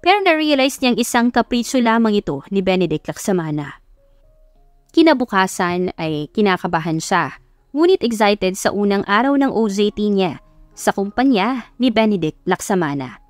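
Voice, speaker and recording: A woman's voice: medium pitch, very animated, moderate pace, dry recording, soft background music. A woman with a Filipino accent reading a scripted story as an audiobook narrator.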